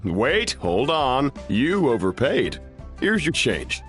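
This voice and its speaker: A man's voice: medium pitch, sing-song, takes it slowly, fairly bright tone. A man playing an easygoing, absent-minded restaurant chef in a video game, affable and a little puzzled. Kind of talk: animated video game dialogue. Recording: music clearly behind the voice.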